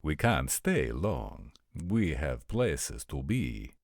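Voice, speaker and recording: A man's voice: very deep voice, very animated, gravelly, dry recording, studio quality, full character voice. A man playing a formal, guarded, courteous vampire prince in a roleplay audio drama. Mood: polite, guarded. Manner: formal, polite, measured.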